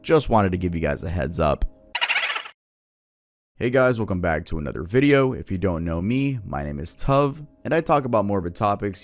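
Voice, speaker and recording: A man hosting a video, narrating true crime in a soothing voice with a relaxed, lightly joking manner. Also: deep voice. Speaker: YouTube host.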